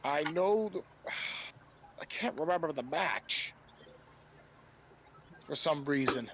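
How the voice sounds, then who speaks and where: High-pitched man chatting about sport. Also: animated, slow pace, noisy background. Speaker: wrestling podcast panelist. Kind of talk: casual sports chat.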